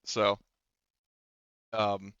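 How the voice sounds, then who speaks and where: A man's voice: fairly low pitch, monotone, drawn-out words, fairly bright tone, clear, resonant tone, no echo, muffled recording. A man talking casually as game master in a tabletop roleplaying session, explaining things in a hedging, conversational way.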